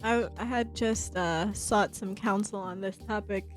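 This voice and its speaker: A woman speaking as a guest on a podcast, relaxed and candid in casual conversation, laughing easily. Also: mid-range voice, measured pace, faint music behind.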